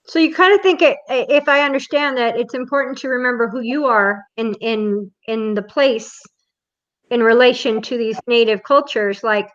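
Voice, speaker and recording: A woman's voice: fairly high pitch, normal speed. A woman from Hawaii interviewing conversationally, earnestly explaining and offering long personal asides. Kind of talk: casual, reflective conversational interviewing. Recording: slightly dull, lo-fi sound.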